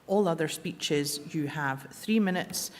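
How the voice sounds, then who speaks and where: A woman with a Scottish accent chairing a political conference, introducing speakers in a brisk, friendly way. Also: low voice. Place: large conference hall. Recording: reverberant hall, faint crowd.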